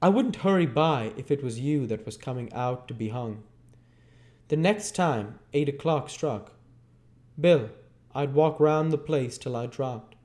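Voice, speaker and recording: A man's voice: medium pitch, animated, a little slow, a little mellow, voice pushed up, close to an everyday voice. A man voicing an emotional young London woman in a storybook reading, tender but defiant, with a pleading, emphatic delivery. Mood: earnest, defiant.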